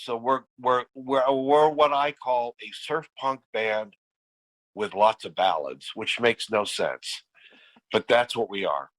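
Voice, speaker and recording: A man's voice: medium pitch, a bit bright, no echo, poor audio. A man who is losing his voice, telling stories in casual podcast chat with many restarts and repeats. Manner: rambling storyteller with many restarts. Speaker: podcast guest, band frontman.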